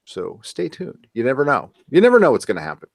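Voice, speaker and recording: A man's voice: medium pitch, wildly swooping pitch, fast talker, gravelly, no echo. A man hosting a podcast in loose, joking, casual chat and reading out comments. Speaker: podcast host.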